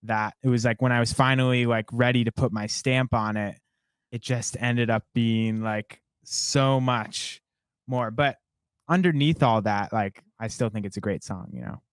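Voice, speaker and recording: A man's voice: medium pitch, measured pace, smooth, no room sound. Young guy songwriter, rambly and thoughtful, lots of "like"s, dead dry room. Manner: reflective, rambling with many "like" fillers. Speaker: songwriter guest. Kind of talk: casual interview conversation.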